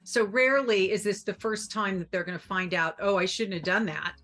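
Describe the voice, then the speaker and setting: A middle-aged woman appearing as a parenting expert on a TV talk show, explaining her advice calmly and clearly. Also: mid-range voice, a little fast. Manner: calm, explanatory, warm advice-giving.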